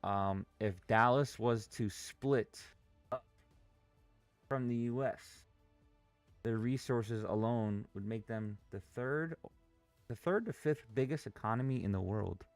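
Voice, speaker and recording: A man's voice: medium pitch, slow, no echo, soft background music. A man streaming live trades, talking casually, restless and impatient, swearing freely as he talks. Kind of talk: casual live trading commentary.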